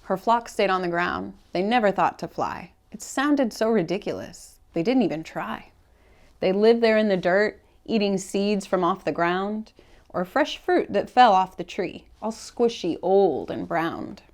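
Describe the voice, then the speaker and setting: A woman with a mid-pitched voice reads a children's picture book in verse. She shapes each line in an expressive, deliberate way, as a library story-time host. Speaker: children's read-aloud host at a public library. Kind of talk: storybook read-aloud for children, rhyming verse.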